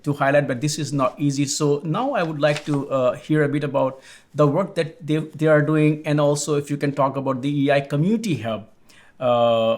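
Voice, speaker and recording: A man's voice: medium pitch, creaky. A man interviewing guests, asking long, rambling questions full of fillers and restarts.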